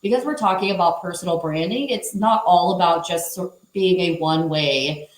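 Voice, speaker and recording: A woman with a fairly low voice speaks fluently on a panel, explaining points and checking in with the listener. Her voice carries a noticeable room echo. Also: normal speed. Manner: fluent, explanatory, often adds a questioning "right?". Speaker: panelist, former teacher. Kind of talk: conversational panel talk. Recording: roomy echo.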